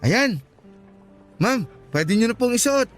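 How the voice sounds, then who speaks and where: A very high-pitched young motorcycle taxi driver in a radio drama. He is courteous, chatty and eager to please, with music behind him. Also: sing-song, slow pace, fairly bright tone, exaggerated voice.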